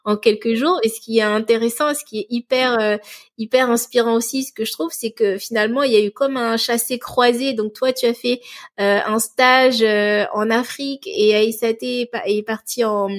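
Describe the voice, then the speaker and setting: A woman hosts a casual interview. Her voice is fairly high, and she speaks informally, encouraging her guest as she asks questions. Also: steady tone, normal speed. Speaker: podcast interviewer, host.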